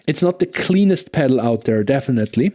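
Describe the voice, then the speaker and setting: Dark-toned man reviewing gear. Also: medium pitch, animated, brisk. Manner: conversational, enthusiastic about the gear, often checks in with "right?". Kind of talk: casual product review with demonstrations.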